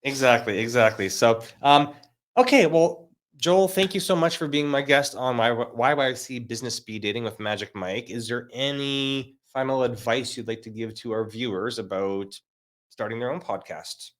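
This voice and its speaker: Chatty Canadian podcast host man. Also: medium pitch. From Manitoba, Canada. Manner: chatty, rambling, quick asides. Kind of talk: casual interview chat.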